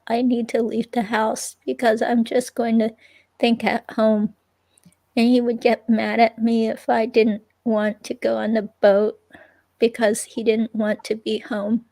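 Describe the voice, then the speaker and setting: Uneasy young ex-girlfriend at a police interview, played by a woman: halting, flat in pitch, fry-edged. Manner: halting, hesitant, filler-laden delivery. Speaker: reader playing an interviewee. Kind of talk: dramatic reading of police interview transcript.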